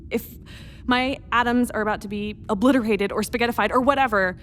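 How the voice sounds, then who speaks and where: A woman acting an earnest, vulnerable astronaut captain in a radio drama, with halting, emotional delivery. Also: fairly high pitch, a little hiss or hum, natural voice.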